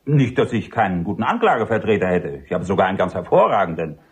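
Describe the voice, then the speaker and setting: An actor playing a frank, businesslike army colonel in a German radio drama, blunt and official. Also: male voice, sits low, sing-song, fast, husky, exaggerated voice.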